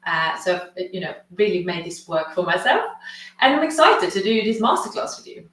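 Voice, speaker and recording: Middle aged lady giving a coaching masterclass, lowish voice, super echoey room. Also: sing-song, normal speed, fairly bright tone. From Sweden. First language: Swedish. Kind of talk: informal presentation to an online class. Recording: very echoey room, distant mic.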